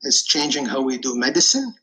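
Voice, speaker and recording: This is a man from Iraq in his forties, speaking as a podcast guest. His voice is bright and forward, and he hesitates often, filling gaps with ums and uhs. He reflects on his life in a casual, earnest way. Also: mid-range voice, very animated, brisk, low-quality recording. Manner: hesitant, many fillers and restarts. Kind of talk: casual interview answers.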